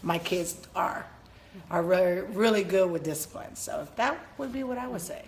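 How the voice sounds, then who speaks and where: A woman from Texas telling casual personal stories in a relaxed, chatty way. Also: fairly low pitch, normal speed, a little hiss or hum. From Texas, San Antonio. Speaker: singer and hairdresser.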